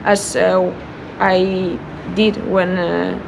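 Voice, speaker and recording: A woman with a Spanish accent answering interview questions, haltingly searching for her words in English. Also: lowish voice, level tone, vocal fry, noisy background. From Spain. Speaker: air traffic controller being interviewed. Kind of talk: unscripted interview.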